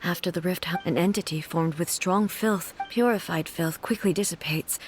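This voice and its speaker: A woman voicing a regal, composed warrior goddess ruler in an anime game, formal, measured and authoritative. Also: fairly low pitch, slight background noise, close to an everyday voice. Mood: calm, grave.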